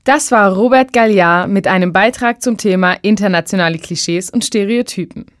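A female radio host presenting with a bright, announcing tone. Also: mid-range voice, moderate pace, no room sound, studio quality.

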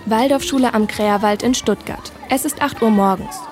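A woman narrating a radio documentary feature in a calm, descriptive reading style. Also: on the high side, normal speed, soft background music.

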